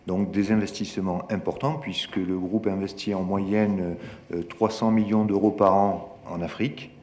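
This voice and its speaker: A man giving a formal business presentation as a panelist, speaking in a halting, hesitant way. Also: low-pitched, steady tone, a little mellow. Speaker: corporate panelist. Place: large conference room at a forum. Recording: echoey hall, reverberant, some noise.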